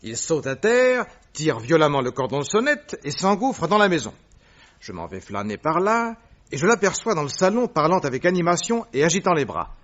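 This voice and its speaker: A man's voice: fairly high pitch, big pitch swings, normal speed, fairly bright tone, highs cut off. An actor playing a witty, eager male detective who tells things playfully and vividly in a French radio drama. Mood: excited, urgent.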